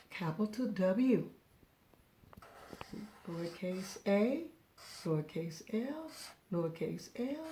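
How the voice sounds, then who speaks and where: Slow-paced woman teaching young children. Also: lowish voice, a little mellow. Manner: encouraging, patient, step-by-step. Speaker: preschool teacher. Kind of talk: children's lesson, asking and answering questions.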